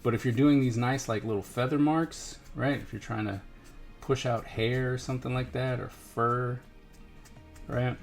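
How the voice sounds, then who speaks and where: A man's voice: mid-range voice, fairly even intonation, unhurried, gravelly. A man giving a casual hands-on product review, thinking aloud informally and repeating himself as he muses. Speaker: host of an art supply review series. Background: background music.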